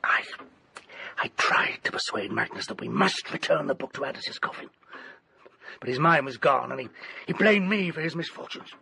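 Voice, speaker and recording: An actor playing a haunted, desperate gentleman doctor in a radio drama, with a British accent and an ornate, grimly theatrical delivery. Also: on the high side, animated, moderate pace, creaky, husky, exaggerated voice. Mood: anguished confession. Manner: ornate, theatrical, dwells on grisly detail.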